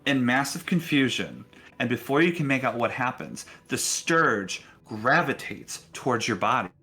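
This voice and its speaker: A man narrating a tabletop game as game master in a deliberate, suspenseful, dramatic style. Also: mid-range voice, animated, bright, forceful tone.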